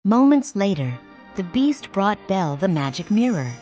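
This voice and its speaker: A woman narrating a children's read-along storybook in a clear, expressive way. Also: mid-range voice, moderate pace, highs cut off. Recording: music playing behind.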